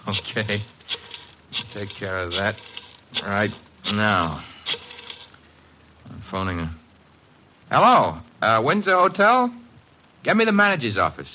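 A man's voice: on the low side, very animated, slow pace, pauses often, sharp tone, slight background noise, full character voice, low-quality recording. An actor playing a breezy, wisecracking male detective's assistant in an old-time radio drama, with a wry, informal way of talking. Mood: breezy, flippant.

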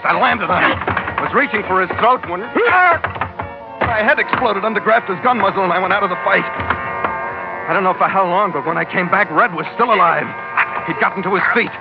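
A man playing a tough American sea captain narrating a 1940s radio adventure in clipped, hard-boiled, dramatic fashion. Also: high-pitched. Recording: muffled old radio broadcast with music.

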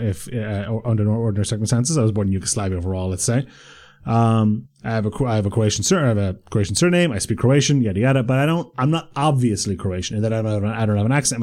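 A man's voice: mid-range voice, a little mellow. A man with an Irish accent, co-hosting a podcast and telling rambling, self-mocking stories in casual banter. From Ireland. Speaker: podcast co-host.

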